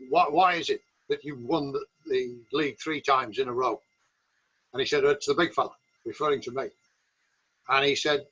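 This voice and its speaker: A man's voice: medium pitch, a bit bright, breathy edge. A man over seventy-five with an English accent, speaking as a veteran football coach in a reflective, conversational interview. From England. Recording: slightly dull, lo-fi sound.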